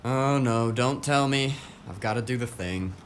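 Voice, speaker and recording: A man plays a put-upon tech worker in an audio drama. He has a middle-pitched voice and talks in casual slang, grumbling with theatrical flair. A light noise sits in the background. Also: unhurried, lightly acted. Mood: reluctant.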